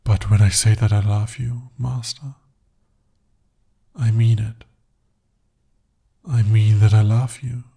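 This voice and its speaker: A man voicing a devoted, possessive male demon in an ASMR romance roleplay, speaking softly and formally. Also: fairly low pitch, level tone, slow, creaky, long pauses, breathy edge, full character voice. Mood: earnest. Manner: soft, intimate, formal speech. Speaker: voice actor.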